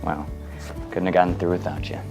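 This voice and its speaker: A man's voice: sits low, flat delivery, fairly quick, vocal fry, music in the background. A man acting a joking, sarcastic brother in a radio advertisement dialogue. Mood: wry teasing. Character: joking, sarcastic brother who survived cancer.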